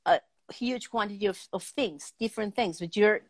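A woman in her fifties hosting a casual interview on a video call, pausing often as she searches for words. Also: mid-range voice. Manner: hesitant, pausing mid-sentence to search for words. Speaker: talk show host. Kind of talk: casual interview chat about art. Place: indoor room at home, on a video call.

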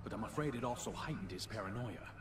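A man plays a watchful elf guide in a fantasy game. His measured, cautioning lines are very animated and ring with echo over clear background noise. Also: on the low side, close to an everyday voice. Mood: measured concern. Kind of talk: fantasy video game acting.

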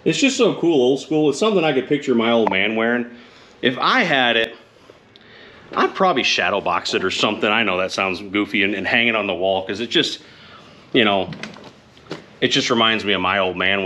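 A man in his thirties talks into a close camera in his garage, chatty and self-mocking. His pitch swings around a lot as he jokes. A faint hiss and some clicks sit under his voice. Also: pushed and bright. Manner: joking, self-deprecating, chatty. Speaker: motorcycle vlogger. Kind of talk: casual garage vlog. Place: home garage with open door. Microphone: camera close up, sometimes set aside.

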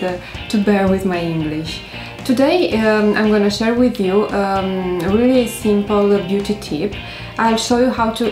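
A woman in her thirties from Romania, speaking English with a Romanian accent, gently hosting a beauty tutorial to camera with frequent hesitations. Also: medium pitch, echoey room. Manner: gentle, careful delivery with many hesitations. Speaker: beauty vlogger. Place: indoors by a stone wall.

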